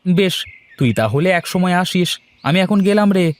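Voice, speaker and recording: A man's voice: high-pitched, lively intonation, normal speed. A man playing an easygoing village man in a story, talking in a familiar, musing, colloquial way. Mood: bantering. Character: easygoing village elder brother Pratap, would-be businessman farmer. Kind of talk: acted village story dialogue.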